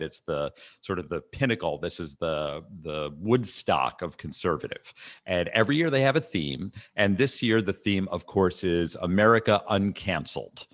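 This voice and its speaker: A man co-hosting a podcast in casual political banter, with a sarcastic, incredulous and animated manner. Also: deep voice, no room sound. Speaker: podcast co-host.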